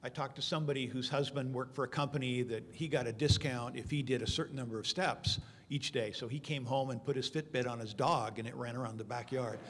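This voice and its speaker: A man's voice: medium pitch, fairly even intonation, a little fast, few pauses, noisy background. Man explaining in a very echoey hall. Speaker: panel speaker answering audience questions. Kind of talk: informal conference Q&A talk. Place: large conference hall. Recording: long hall reverb over conference sound system.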